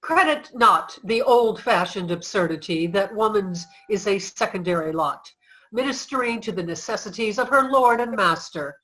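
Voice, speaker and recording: A woman's voice: medium pitch, animated, normal speed, fairly bright tone, close to an everyday voice, poor audio. A woman playing a proud nineteenth-century suffragist, formal and declarative in a staged reading. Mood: proud, determined. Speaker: reader in a reader's theater.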